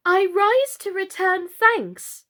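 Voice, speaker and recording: Spirited, well-mannered fairy-tale girl, high and animated played by a woman narrator. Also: slow pace, heavily acted. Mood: timid, polite. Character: polite but spirited, indignant young girl.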